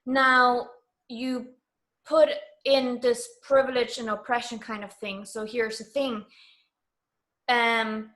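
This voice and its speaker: A woman with a fairly high voice is being interviewed. She talks conversationally and earnestly, leaning on key words to press her point. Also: a bit bright. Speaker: interview guest.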